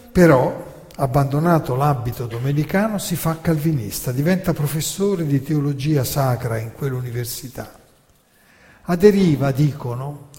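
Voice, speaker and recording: Mellow man narrating in a reverberant hall. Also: on the high side. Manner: deliberate, emphatic, with dramatic pauses. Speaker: narrator and lecturer. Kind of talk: theatrical lecture narration, reading quotations.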